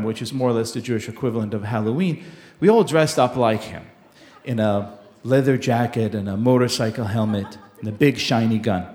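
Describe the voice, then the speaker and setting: A man from Israel telling a personal story live on a theater stage in a wry, deliberate way with pauses. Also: mid-range voice, darkish tone.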